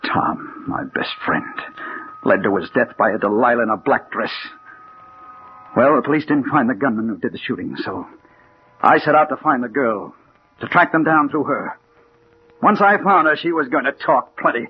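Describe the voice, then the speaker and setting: An actor playing a hard-boiled American detective, narrating and acting with dramatic intensity in a 1940s radio crime drama. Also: male voice, medium pitch, lively intonation, moderate pace, close to an everyday voice. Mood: grim, determined. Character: hard-boiled male private detective bent on revenge. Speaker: narrator and lead character. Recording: muffled old radio recording with hiss.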